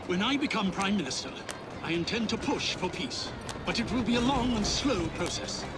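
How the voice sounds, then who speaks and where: Haughty statesman, swooping high voice. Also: lively intonation, normal speed, very noisy. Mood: lofty, firm. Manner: pompous, condescending, oratorical. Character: haughty, blunt senior British statesman. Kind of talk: dramatic video game cutscene acting.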